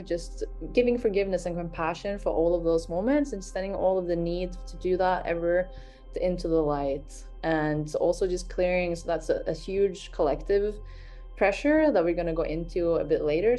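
Soft-spoken woman guiding a healing session. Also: on the low side. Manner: slow, soothing, repetitive guidance with soft murmured affirmations. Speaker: healing guide.

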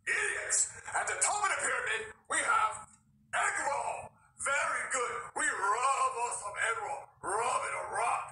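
A woman voicing a rude, impatient schoolteacher who talks in clipped, broken phrases and shouts at the class. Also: on the high side, lively intonation, unhurried, slight background noise, heavily acted. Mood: lecturing. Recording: echoey room from a distance, music behind.

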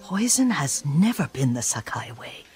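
A woman's voice: deep voice, big pitch swings, moderate pace, a little mellow. A woman playing an elderly, devoted servant, caring and cautious, in a video game cutscene. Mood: grave. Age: older. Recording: music behind the voice. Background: cinematic game music.

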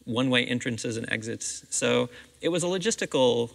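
Gravelly, bright-voiced American man, reverberant hall. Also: medium pitch, animated, normal speed. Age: middle-aged. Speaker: school theatre director being interviewed. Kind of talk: conversational interview answers. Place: seated on the stage of a theatre auditorium. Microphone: clip-on lapel microphone. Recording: reverberant empty auditorium.

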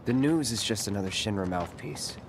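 An actor voicing a stoic young mercenary in a video game, speaking in a clipped, flat way. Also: male voice, on the low side, fairly quick, noisy background, close to an everyday voice. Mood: aloof. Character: stoic young male mercenary, terse and aloof.

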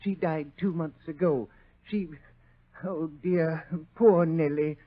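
An actor playing a meek, fretful suspect who stammers and pleads in a 1940s radio drama. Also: male voice, a little high, fairly even intonation, slow pace. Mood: mournful, fretful. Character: meek, fretful, timid male murder suspect. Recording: muffled old radio recording.

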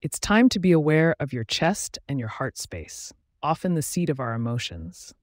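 A man with a fairly high voice leads a guided meditation in a calm, unhurried way, pausing between phrases. He is close to the mic in a dry room. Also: no echo. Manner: slow, soothing, even delivery with pauses.

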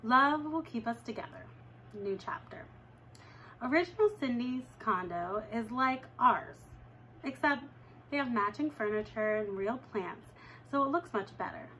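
A young woman reading a children's novel aloud at home, with lively jumps in pitch when she reads dialogue. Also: measured pace, slight background noise, rough recording. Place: living room at home, indoors.

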